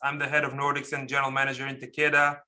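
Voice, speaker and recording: A man's voice: medium pitch, completely flat delivery, racing, sharp tone. A middle-aged man from Portugal, answering interview questions as a podcast guest in an explanatory, emphatic way. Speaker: podcast guest, pharmaceutical executive.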